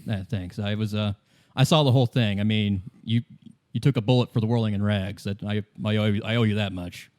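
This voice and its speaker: A man voicing a hesitant, grateful hostel manager, earnest and halting. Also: on the low side, steady tone, darkish tone, no room sound, studio quality, lightly acted. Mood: grateful, awkward.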